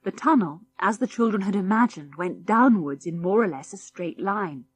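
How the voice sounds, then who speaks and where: A British woman narrates a children's adventure audiobook. Her voice sits at a middle pitch and she tells the story expressively, with clear articulation and a sense of drama. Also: animated, moderate pace.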